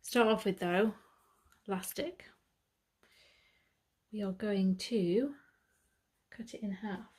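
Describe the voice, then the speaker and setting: A woman's voice: medium pitch, takes it slowly, long pauses, a little mellow, husky. A British woman giving a step-by-step sewing tutorial, chatting casually and correcting herself as she works. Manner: chatty, self-correcting, thinking aloud.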